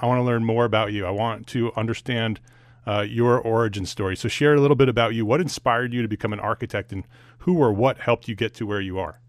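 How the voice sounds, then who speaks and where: A man's voice: mid-range voice, fairly even intonation, fast talker, no echo, studio quality. A man working as a podcast host, delivering scripted introductions and interview questions with an emphatic, promotional manner.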